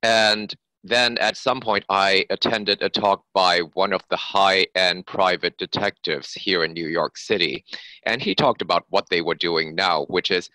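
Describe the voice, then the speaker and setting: Very low, bright-edged male author interview. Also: fairly even intonation, measured pace, dry recording, low-quality recording. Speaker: author, podcast guest. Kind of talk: explanatory interview talk.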